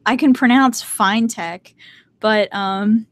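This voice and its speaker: A woman's voice: a little high, slow pace, no room sound. A woman with a light North Carolina Southern accent chatting casually on a live stream, rambling and laughing often. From Southern United States. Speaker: streamer hosting a live hangout.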